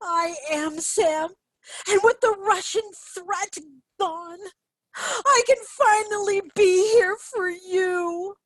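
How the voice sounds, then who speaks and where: A theatrical long-lost mother voiced by a woman, extremely high in pitch. She speaks slowly and haltingly, full of stage-play emotion. The sound is dry. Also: fairly bright tone, no echo, full character voice. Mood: emotional, tender.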